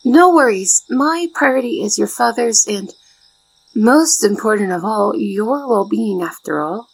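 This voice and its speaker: A woman playing a shy, devoted young maid in a soft ASMR roleplay, speaking politely and formally with flustered hesitation in a soft, breathy voice. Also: medium pitch, animated, measured pace, no room sound, exaggerated voice, poor audio. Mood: gentle, polite.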